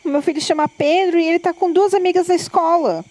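An anxious Brazilian mother acted by a woman speaks in a high voice with a mellow, dark edge. She sounds worried and pleading, in audio drama style. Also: steady tone, quick pace, no echo. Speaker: voice actor.

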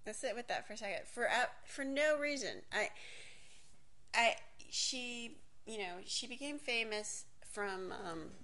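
A woman's voice: on the high side, animated, unhurried, pushed and bright. A woman in her forties, raised in Miami, talks through a personal story in a loose, candid monologue. She interrupts herself, circles back, swears casually and laughs often. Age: 40s.

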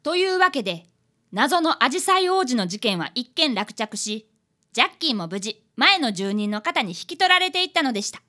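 A woman's voice: on the high side, sing-song, normal speed, sharp tone. A woman voicing an earnest, cheeky fifth-grade boy who is an apprentice detective in a radio drama. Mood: earnest narrating. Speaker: apprentice detective and story narrator.

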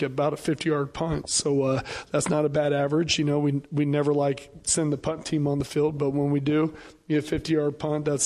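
A football coach who has lost his voice, speaking haltingly with many fillers in a pregame radio interview. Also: fairly high pitch, a little mellow. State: hoarse, lost voice.

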